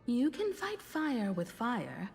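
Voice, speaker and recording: A woman voicing a composed, knowledgeable woman in a video game, explaining things in a measured manner. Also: a little high, huge pitch swings, vocal fry, long reverb tail, faint music behind, exaggerated voice. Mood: calm, resolute.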